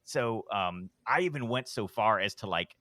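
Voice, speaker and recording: A middle-aged man with a deep voice, telling a long rambling story on a podcast in a relaxed, self-deprecating way. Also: moderate pace, no echo. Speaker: podcast host, guest. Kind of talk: casual storytelling.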